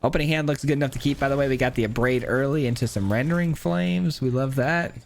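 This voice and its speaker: A man with a hoarse, cracking voice gives casual commentary on his own card game, counting aloud and thinking through his plays. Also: mid-range voice, quick pace, slight background noise. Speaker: gameplay streamer. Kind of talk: casual live gameplay commentary.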